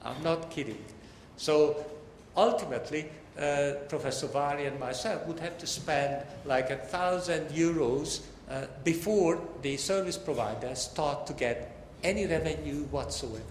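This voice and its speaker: A man's voice: fairly high pitch, measured pace. An older man giving a technical lecture as a professor, speaking conversationally with wry asides and emphatic stresses. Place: large lecture hall, indoors. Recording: echoey hall, faint background noise.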